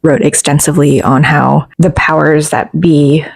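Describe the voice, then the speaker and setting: Lower-pitched, frank, informal woman. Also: fairly even intonation, moderate pace, gravelly, husky. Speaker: podcast co-host. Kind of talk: casual conversation.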